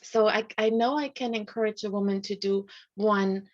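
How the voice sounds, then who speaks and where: A middle-aged woman, a life coach, speaking softly in a casual video call interview, with light laughs. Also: mid-range voice, fairly even intonation, moderate pace. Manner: soft-spoken, often laughs lightly. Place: home room. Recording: slightly dull video call audio.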